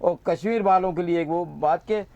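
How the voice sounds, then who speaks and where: A man's voice: high-pitched, normal speed, vocal fry, a crowd in the background. A man reciting patriotic verses at a poetry session, repeating each couplet and sometimes singing them in a melodic line. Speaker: poet.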